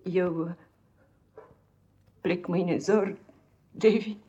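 A devoted nursemaid in a dull-sounding 1950s radio play recording. Her voice is dark and breathy, full of motherly tenderness and sorrow. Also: on the low side, fairly even intonation. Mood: sad, loving. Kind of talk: 1950s radio drama acting.

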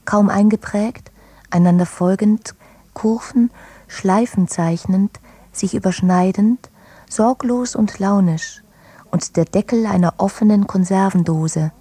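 A woman reciting literary prose in a radio production with measured phrasing. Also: medium pitch, warm tone, husky, no room sound.

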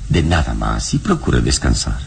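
A male actor playing a serene, gallant adventure hero in a Spanish-language radio drama, speaking with calm, courteous solemnity. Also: extremely low pitch, moderate pace, creaky, slight background noise, full character voice. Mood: calm, reassuring.

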